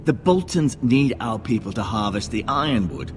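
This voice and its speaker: A man's voice: medium pitch, very animated, normal speed, background noise, natural voice. A man playing a deferential scholarly adviser in a video game drama, reasoning and conciliatory. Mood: placating.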